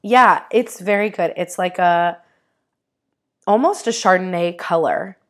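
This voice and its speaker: A young woman co-hosting a podcast, hoarse and raspy, her voice dropping into vocal fry. She chats casually, laughs a lot and fills her talk with "like". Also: lowish voice, a little slow. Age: young adult. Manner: giggly, says "like" often. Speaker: podcast co-host. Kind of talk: casual chat.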